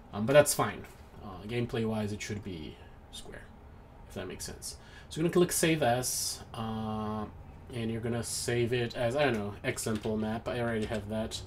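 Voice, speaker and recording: A young man with a Brazilian accent gives a screen-recorded software tutorial at home, hesitant and apologetic, with many ums and restarts. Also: fairly low pitch, a little hiss or hum. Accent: Brazilian Portuguese. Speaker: tutorial maker. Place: home room with noisy neighbors.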